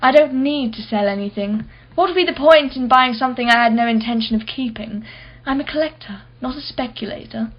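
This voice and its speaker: An actress playing a wealthy, privileged art collector in a radio play, with an arch, articulate, sardonic manner. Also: female voice, on the high side, a little fast, dull audio, close to an everyday voice. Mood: haughty, defensive. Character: haughty, wealthy, privileged female art collector, witty and defensive.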